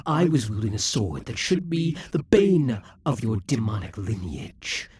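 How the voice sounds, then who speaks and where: A man voicing a proud, vengeful male demon king centuries old, speaking slowly and theatrically in an audio roleplay, with hissing whispers at times. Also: medium pitch, very animated, a little mellow, full character voice. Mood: incredulous. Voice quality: very husky, breathy.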